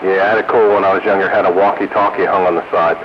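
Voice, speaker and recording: A man's voice: sits low, fairly even intonation, very fast, muffled recording. A man chatting casually and jokingly with fellow hobbyists over a CB radio full of static. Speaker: CB radio roundup host. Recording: noisy CB radio transmission, heavy static. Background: radio static and hiss.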